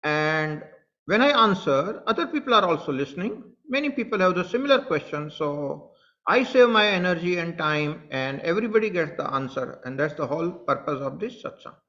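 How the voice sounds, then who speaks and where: A man's voice: a little high, lively intonation, normal speed, bright, forceful tone, dull audio. A man with an Indian English accent, a spiritual teacher speaking earnestly to online followers, repeating his points and addressing them directly. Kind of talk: satsang talk to online followers.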